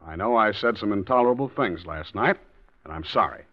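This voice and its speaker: A husband with a secret scheme, breezy and falsely agreeable, with a lively voice. It is 1940s radio acting, heard through a dull lo-fi broadcast recording. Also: male voice, lowish voice, fairly quick.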